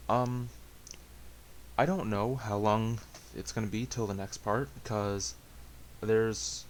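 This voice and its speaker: A man's voice: fairly low pitch, fairly even intonation. Hesitant, rambling man with faint hiss. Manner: hesitant, halting, self-deprecating. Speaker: amateur story reader and channel host. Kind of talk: casual rambling chat to viewers. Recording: hissy amateur home recording.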